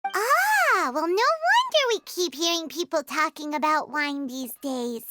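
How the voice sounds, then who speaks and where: A woman's voice: sits high, very animated, measured pace, bright, forceful tone, no echo, faint music behind, exaggerated voice. A woman voicing a small, chatty fairy companion in a game cutscene, eager and full of questions. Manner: chirpy, eager, asks lots of questions. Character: tiny floating fairy companion, chatty and excitable.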